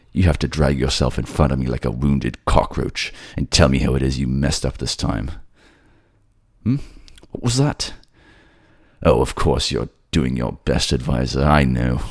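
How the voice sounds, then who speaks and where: A man acts out a furious tyrant king for an audio drama. The voice is very deep and gravelly, recorded dry and close. He sneers with sarcastic contempt and curses impatiently. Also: moderate pace, a little mellow, no room sound, heavily acted. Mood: mocking, scornful. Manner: scornful, sarcastic, cursing. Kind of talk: dramatic ASMR roleplay.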